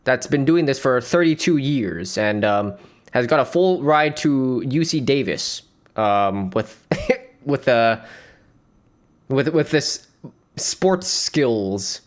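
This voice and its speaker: A man's voice: medium pitch, expressive, slow pace. A man streaming, giving rambling, playful reaction commentary on a dating show and laughing often.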